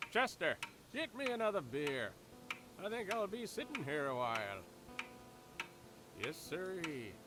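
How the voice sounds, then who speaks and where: Slow, high-pitched smug crooked lawman. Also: very animated, background noise. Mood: taunting. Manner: drawling, folksy, taunting, calls himself by name. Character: smug, loathsome, corrupt small-town lawman. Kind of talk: audio drama acting.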